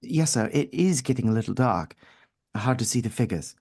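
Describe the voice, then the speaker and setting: Put-upon, deferential male clerk for vintage radio drama, played by a man; kindly, animated pitch, dry recording. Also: normal speed, slightly rough, dry recording. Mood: timid, eager. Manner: deferential, hesitant, eager to please. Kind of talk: old-time radio drama acting.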